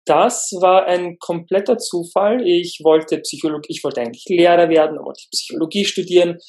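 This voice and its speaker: A man from Lower Austria, a speech therapist talking as a podcast guest, pausing often with fillers; his is Turkish. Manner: hesitant, many fillers, relaxed. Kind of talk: conversational interview.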